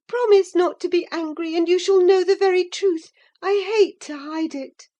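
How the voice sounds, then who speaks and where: A woman's voice: extremely high pitch, normal speed, warm tone, pitched above the performer's own voice. A woman reading aloud and voicing an impulsive teenage girl who pleads breathlessly and earnestly. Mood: tearful.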